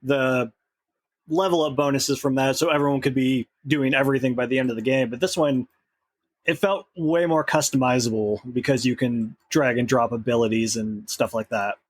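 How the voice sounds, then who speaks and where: A man appearing as a podcast guest, talking in a relaxed, enthusiastic way. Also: mid-range voice, moderate pace, dry recording. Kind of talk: casual interview chat.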